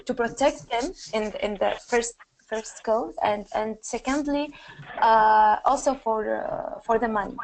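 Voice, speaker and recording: Halting young Syrian woman, slightly creaky voice. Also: fairly high pitch, low-quality recording. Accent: Syrian Arabic. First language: Arabic. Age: 20s. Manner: halting, searching for words in non-native English. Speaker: activist and panelist. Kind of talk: personal account in a panel discussion.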